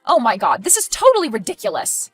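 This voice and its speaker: Woman as defensive, exasperated woman, fairly high-pitched. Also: sing-song, quick pace, breathy edge, no echo, faint music behind. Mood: indignant. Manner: defensive, indignant. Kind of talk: dramatic dialogue acting.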